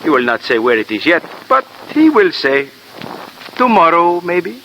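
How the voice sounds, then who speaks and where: A self-satisfied, callous police sergeant. His voice sits fairly high and his English is stilted and clipped. It is an old radio drama with clear noise on the recording. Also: sing-song, normal speed, a bit bright, rough recording. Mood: smug, cool. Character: smug, callous male police sergeant, stubborn and unbothered. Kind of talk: 1950s radio drama acting.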